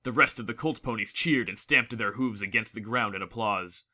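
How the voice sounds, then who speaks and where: A man's voice: lowish voice, moderate pace, pushed and bright. A man narrating a fantasy story from a script in a dramatic audiobook style.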